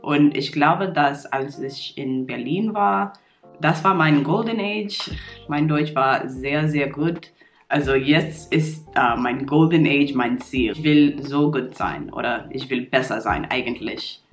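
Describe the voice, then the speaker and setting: A woman's voice: extremely low pitch, takes it slowly. A woman in her twenties from New York with an American English accent, talking casually to the camera in learner's German with small slips and fillers. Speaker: vlogger. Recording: roomy echo, soft guitar music.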